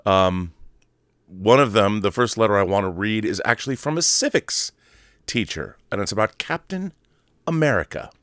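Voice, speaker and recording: Male podcast host, lowish voice, theatrical and wordy, dry close sound. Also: lively intonation, normal speed, a bit bright, no echo. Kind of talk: casual solo commentary and letter reading.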